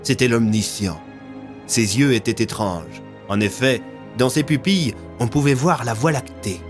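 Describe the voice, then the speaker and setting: Male storyteller over background music. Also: mid-range voice. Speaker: narrator. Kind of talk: scripted audio fiction narration. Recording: music under the voice.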